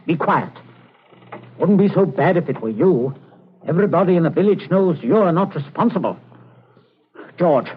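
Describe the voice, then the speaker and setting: A man's voice: on the high side, expressive, moderate pace, pauses often, husky. An actor playing a stern, pompous middle-aged father who snaps orders in a 1940s radio thriller. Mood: stern, irritated. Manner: pompous, scolding, clipped orders. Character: stern middle-class father, pompous, snappish, obsessed with respectability. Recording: tinny old 1940s radio broadcast.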